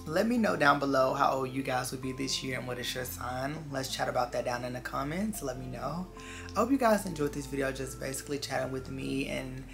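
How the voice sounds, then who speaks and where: Twenties vlogger just talking to camera, confiding and earnest, lots of vocal fry, music underneath. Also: mid-range voice, fairly bright tone. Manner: earnest, confiding, repeats phrases for emphasis. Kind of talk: casual reflective chat to viewers. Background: background music.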